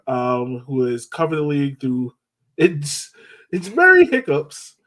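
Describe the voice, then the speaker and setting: A man's voice: a little high, big pitch swings, unhurried, fairly bright tone. An American man speaking as a podcast host in a casual, chatty style about sports.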